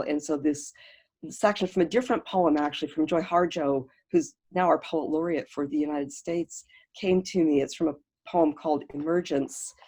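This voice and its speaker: Panelist, woman, deep voice, heartfelt, halting, fading at phrase ends. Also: husky. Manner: earnest, many restarts, trailing off softly. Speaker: panelist, professor. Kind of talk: reflective panel talk on a video call. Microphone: video call.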